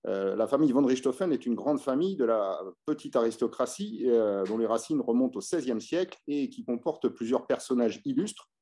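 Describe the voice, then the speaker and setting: A man's voice: mid-range voice, mellow. A man giving an unscripted history lecture in French, hesitating often with filler sounds and restarts. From France. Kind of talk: unscripted illustrated history talk.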